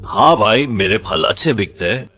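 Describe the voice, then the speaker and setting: A man's voice: on the low side, expressive, moderate pace, sharp tone, faint music behind. A man voicing a greedy fruit seller in a cartoon story, chummy and persuasive with his friend. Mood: chummy, boastful.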